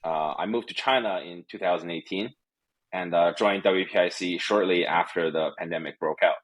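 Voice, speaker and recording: A man's voice: low-pitched, moderate pace, rough recording. A man from Canada, probably in his thirties, speaking casually as an interview guest with frequent fillers and restarts. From Canada (Calgary). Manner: hesitant, many fillers and restarts. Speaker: guest, company executive. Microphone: remote recording.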